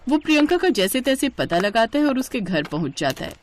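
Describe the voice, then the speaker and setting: A woman's voice: fairly high pitch, sing-song, brisk, a little hiss or hum. A woman narrating a scripted moral story as a storyteller.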